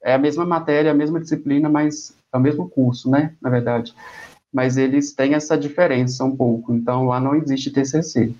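Young Brazilian male guest, mid-pitched, easygoing and rambling, lo-fi audio. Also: fairly quick. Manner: relaxed, rambling with restarts. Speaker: exchange student guest. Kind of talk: conversational interview.

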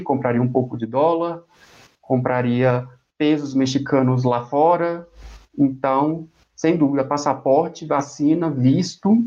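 A young man from Brazil talks loosely and at length, circling back and restarting his sentences as he answers. His voice sits in a middle pitch. The audio comes through a little dull and muffled. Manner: relaxed, rambling with restarts. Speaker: exchange student guest. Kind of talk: conversational interview.

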